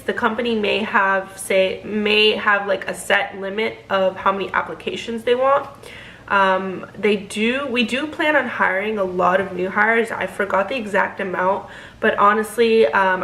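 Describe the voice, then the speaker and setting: A woman's voice: medium pitch, a bit bright, echoey room, slight background noise, poor audio. A young woman, a flight attendant, chatting casually to camera with a stuffy nose as she gets over being sick, with lots of fillers and restarts. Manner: chatty, filler-heavy, self-correcting. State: stuffy, getting over illness.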